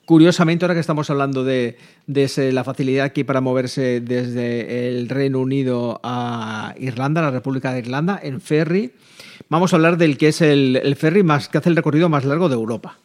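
A man with a Spain Spanish accent talks as a travel show host. His voice sits at an ordinary male pitch. He explains in an informal, halting way, padding his phrases with frequent filler words. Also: darkish tone. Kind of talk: conversational radio-style travel talk.

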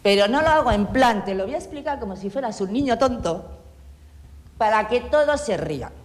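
A woman's voice: a little high, animated, brisk, in a large hall. A woman from Catalonia, a town councillor, giving a heated, sarcastic speech full of rhetorical questions in a council debate. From Catalonia, Spain.

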